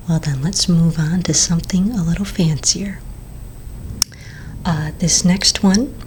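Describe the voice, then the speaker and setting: A woman playing a friendly, patient vampire sales agent in a soft, breathy, mostly whispered ASMR roleplay, gentle and unhurried. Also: on the low side, slight background noise. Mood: gentle, helpful.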